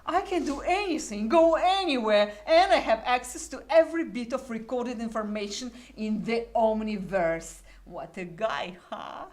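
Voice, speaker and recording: A woman's voice: on the high side, lively intonation, normal speed, few pauses, darkish tone, lightly acted. A woman playing a wisecracking demon sub-agent who deals in souls with breezy, mocking sales patter in a dramatic script reading. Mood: boastful, teasing.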